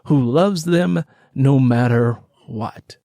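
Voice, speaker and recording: A man with a mid-pitched voice hosts a conversational interview in a dry, close sound. He talks in a halting, rambling way as he works toward his questions. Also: creaky, dark tone, no room sound. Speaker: podcast host.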